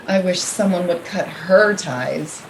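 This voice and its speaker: Woman playing a slow, teasing mistress, noisy recording. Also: on the low side. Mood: teasing, impatient. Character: flirtatious, impatient mistress, possessive and teasing. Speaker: radio play actor. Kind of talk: radio drama acting.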